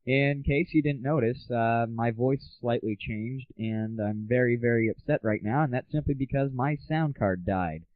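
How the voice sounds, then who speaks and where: A man's voice: mid-range voice, moderate pace, hardly any pauses, no echo. A man hosting a casual solo show in an informal, self-deprecating way on a cheap home microphone. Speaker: show host. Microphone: cheap malfunctioning home microphone. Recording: tinny lo-fi home recording, faulty microphone.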